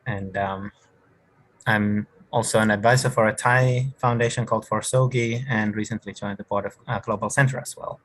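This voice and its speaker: A man's voice: fairly low pitch, steady tone, normal speed. A man from Finland, a university lecturer, speaking thoughtfully with small hesitations on an online panel. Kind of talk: panel discussion.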